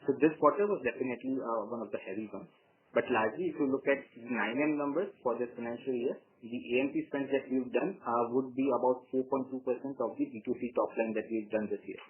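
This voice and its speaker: A man gives measured executive answers on an earnings call, pausing often to hesitate. His voice sits at a middle pitch and comes through a narrow, tinny telephone line. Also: slight background noise. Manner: halting, measured, frequent long hesitations. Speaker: company executive answering analysts. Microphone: telephone conference call.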